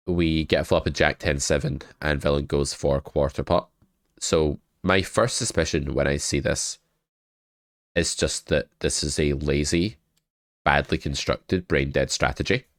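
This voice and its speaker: Congested, very deep-voiced man. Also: dry recording, clean studio sound. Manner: chatty, joking, swears casually. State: congested with a cold. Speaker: poker coach. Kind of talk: casual poker hand review. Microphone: webcam desk setup.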